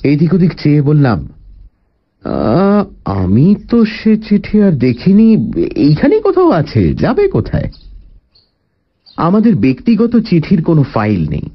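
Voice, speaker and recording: A man giving an expressive scripted narration of a detective story. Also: on the high side, unhurried, long pauses, muffled recording. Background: background music.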